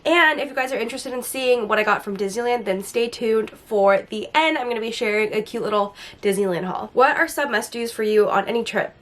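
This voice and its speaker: A woman with a slightly raspy, hoarse voice from getting over the flu, chatting enthusiastically through a casual Q&A vlog with lots of "like" fillers. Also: on the high side, fairly quick, few pauses, a bit bright. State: recovering from a flu, a bit hoarse. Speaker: lifestyle vlogger.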